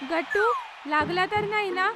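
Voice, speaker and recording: A caring little cartoon schoolgirl, with an extremely high voice. She is supportive of her friends, over clearly audible music. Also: measured pace. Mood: worried. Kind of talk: children's cartoon dubbing.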